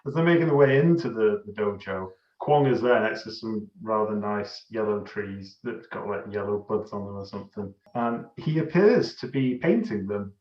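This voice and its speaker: A man co-hosting a podcast, casually discussing a film in a halting, wry way. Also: lowish voice, expressive. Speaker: podcast co-host. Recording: slightly dull, echoey room.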